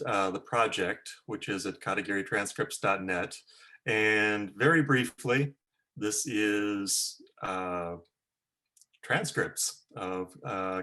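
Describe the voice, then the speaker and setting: A man's voice: fairly low pitch, pushed and bright. A man who is a Zen priest giving a casual Dharma talk over a video call, chatty, with frequent "uh" fillers and little laughs.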